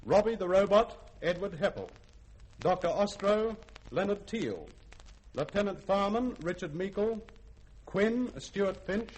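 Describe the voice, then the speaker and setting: A man's voice: high voice, expressive, unhurried, a little mellow. A man, a radio producer formally and precisely reading scripted credits. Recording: faintly noisy vintage radio recording.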